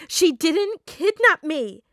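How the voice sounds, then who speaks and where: A woman's voice: on the high side, fairly quick, darkish tone, dry recording, clean studio sound, close to an everyday voice. A woman voicing an upset teenage boy who argues tearfully and stubbornly. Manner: tearful, pleading, sniffling.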